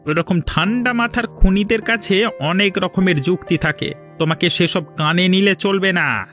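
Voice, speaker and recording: A man's voice: high-pitched, normal speed, a bit bright, faint music behind. An actor playing a domineering, scheming older village father-in-law in a cartoon drama, scolding and threatening. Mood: sly, commanding.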